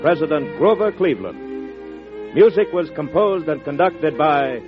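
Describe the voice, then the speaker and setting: Male 1940s radio announcer, formal, highish voice, very varied intonation, muffled, music behind. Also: animated, measured pace. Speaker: radio announcer reading closing credits.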